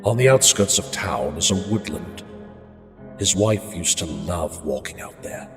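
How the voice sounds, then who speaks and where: Man playing a deep, brooding confessed killer. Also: lively intonation. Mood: brooding, musing. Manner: brooding, halting, slow, theatrical prose delivery. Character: unhinged confessed murderer narrating his haunted, dreadful tale. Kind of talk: dark dramatic horror monologue. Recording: echoey reverberant hall sound, music behind.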